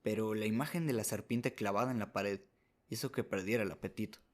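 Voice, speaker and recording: A man's voice: fairly low pitch, brisk. A man narrating a short story as a scripted radio drama, reading as the narrator.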